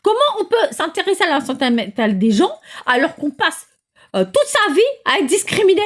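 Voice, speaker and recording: A woman's voice: high-pitched, sing-song, fairly quick. A woman talking casually and bluntly at home, outspoken and exasperated. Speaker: vlogger. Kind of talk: casual indignant chat.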